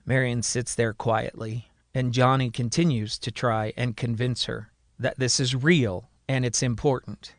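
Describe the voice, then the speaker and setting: A man's voice: medium pitch, steady tone, unhurried, hardly any pauses, dry recording, studio quality. A man narrating a scripted science-fiction serial as a dramatic storyteller.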